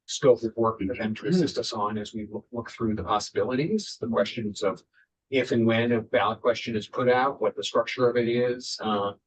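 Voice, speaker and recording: A man chairing a town committee meeting, speaking with frequent fillers and restarts. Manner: halting, many fillers and restarts. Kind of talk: public meeting remarks. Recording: muffled meeting recording with faint rumble.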